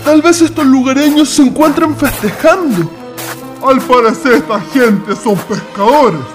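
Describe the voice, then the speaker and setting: A man's voice: extremely high pitch, a little slow, over music. A man playing a bold, commanding traveller, declamatory and emphatic in a radio drama. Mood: inquiring.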